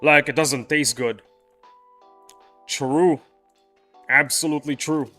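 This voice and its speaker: Young guy streaming a game, chill rambly chat, keeps restarting sentences, soft music behind. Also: on the high side, fairly even intonation, slow. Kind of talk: casual livestream chat with viewers. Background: faint background music.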